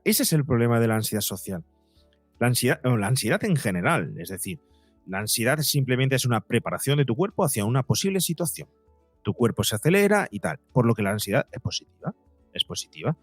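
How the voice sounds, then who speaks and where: Male, medium pitch. Interview guest who explains by example and repeats for emphasis. Dry sound. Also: no room sound. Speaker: psychologist and podcaster, interview guest. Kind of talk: explanatory conversational interview talk.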